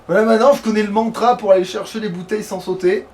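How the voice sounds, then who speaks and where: A man's voice: high-pitched, steady tone, fast talker, darkish tone, distant mic. A man giving casual, grumbling, sweary commentary while playing a video game. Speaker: let's player. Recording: clear background noise, game ambience.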